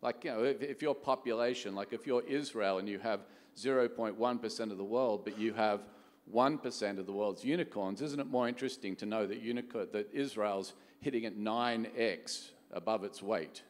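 A man's voice: lowish voice, normal speed. A middle-aged man gives a conference keynote in a conversational manner, often posing rhetorical questions. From Australia. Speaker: venture capital executive, keynote speaker. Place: large conference hall stage. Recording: echoey hall sound, long reverb.

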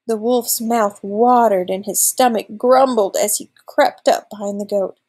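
A woman's voice: mid-range voice, steady tone, a little slow, a little mellow, husky. A woman in her thirties reading a children's storybook aloud expressively, like a teacher.

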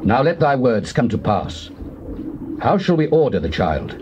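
A man's voice: medium pitch, big pitch swings, unhurried, highs cut off. A man playing a devout, anxious husband and father in a scripture drama, speaking in a formal, pleading, theatrical way. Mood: reverent, pleading. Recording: noisy, hissy old cassette tape.

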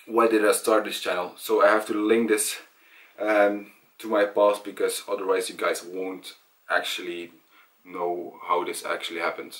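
A young man talking casually to camera about himself, in a hesitant, halting way. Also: deep voice, little pitch movement, fairly bright tone. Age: young adult. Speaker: vlogger. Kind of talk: casual personal storytelling to camera. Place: small indoor room at home. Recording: voice distant from the mic.

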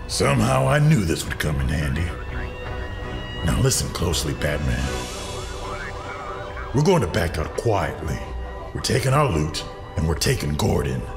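Male actor as sardonic crime boss, theatrical, taunting, low creaky voice, audio drama. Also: big pitch swings, moderate pace, pauses often, over music, exaggerated voice. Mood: menacing, smug.

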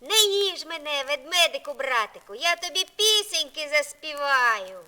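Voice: high-pitched, very animated, moderate pace, sharp tone, exaggerated voice. A performer voicing a small cheeky runaway bread bun in a sing-song storybook manner, pleading and boastful. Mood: coaxing. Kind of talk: storybook audio play with singing. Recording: vinyl record transfer with music.

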